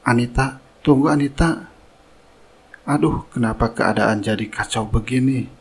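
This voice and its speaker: A man playing a greedy, scheming suitor in a cartoon melodrama, with a husky voice. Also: medium pitch, unhurried. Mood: pleading. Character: scheming, greedy suitor, smooth-talking and arrogant.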